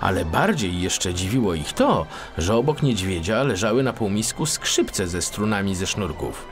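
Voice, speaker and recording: A man narrating a children's fairy tale as an expressive audiobook storyteller. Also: low-pitched, moderate pace, soft background music.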